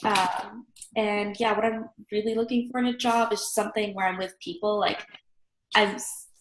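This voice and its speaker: A woman's voice: mid-range voice, fairly even intonation, normal speed. A young woman student introducing herself, nasal from a cold, friendly and a bit hesitant with many fillers. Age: young adult. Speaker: university student. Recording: echoey room with faint noise.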